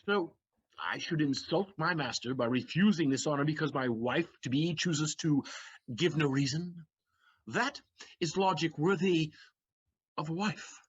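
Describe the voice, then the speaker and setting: A man's voice: on the high side, husky. Figaro, a man playing a witty servant. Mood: reasoning. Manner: flowery, theatrical, quick-witted. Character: Figaro, witty excitable young manservant, male. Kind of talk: stage audio play acting. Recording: dull lo-fi stage recording.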